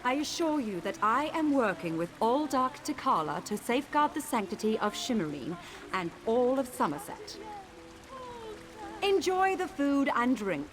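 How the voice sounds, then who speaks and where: An actress voicing a proud, imperious high elf noblewoman in a video game, formal and haughty. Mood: polite, guarded. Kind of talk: video game character acting.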